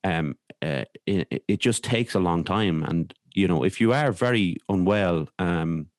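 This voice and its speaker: An Irish man speaking candidly as a podcast guest, in a hesitant, halting way with repeated words. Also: sits low, expressive, no room sound, clean studio sound. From Ireland. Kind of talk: candid conversational interview.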